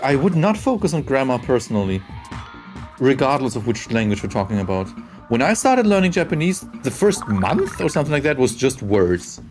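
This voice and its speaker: A young man whose first language is German, chatting casually with viewers while playing a game on a live stream. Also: fairly high pitch. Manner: chatty, reads chat aloud, shrieks when hit in game. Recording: loud game music behind.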